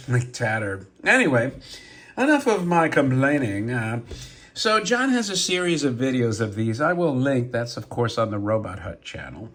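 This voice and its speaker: Hoarse man chatting about toys. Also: mid-range voice, sing-song, normal speed, fairly bright tone. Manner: chatty and rambling, many fillers and asides. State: hoarse from a cold, voice deeper. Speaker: toy robot collector and channel host. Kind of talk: casual show-and-tell vlog.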